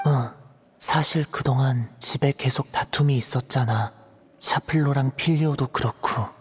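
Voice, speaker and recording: A man's voice: mid-range voice, moderate pace, slightly rough. A man voicing a reserved, worried teenage boy in an anime-style game, speaking tentatively and in a subdued way. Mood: hesitant.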